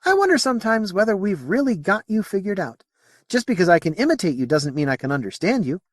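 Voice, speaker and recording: Man voicing a high, playful female alien. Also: very animated, fast talker, dry recording, studio quality. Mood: musing. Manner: chatty, teasing, candid. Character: playful, candid female alien mimicking a young officer.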